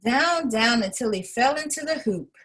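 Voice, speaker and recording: A woman reading a children's story aloud in an expressive way, as an educator would to a young listener. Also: on the high side, normal speed, breathy edge.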